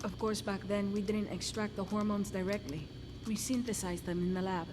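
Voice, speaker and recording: An actress playing a grave, guarded medical researcher in a video game cutscene, explaining in a measured, clinical way. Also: female voice, mid-range voice, fairly even intonation, background noise, close to an everyday voice.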